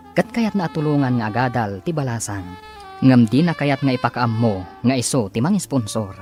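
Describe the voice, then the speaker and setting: A man with a Filipino accent announcing and narrating a radio drama in a dramatic scripted style. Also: medium pitch. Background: music behind the voice.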